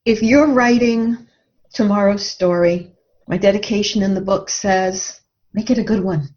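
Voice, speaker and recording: A woman's voice: medium pitch, unhurried, darkish tone. A woman speaking as a guest author in a conversational interview, in an earnest, reflective manner. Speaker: guest author promoting a book. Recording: dull, muffled lo-fi audio.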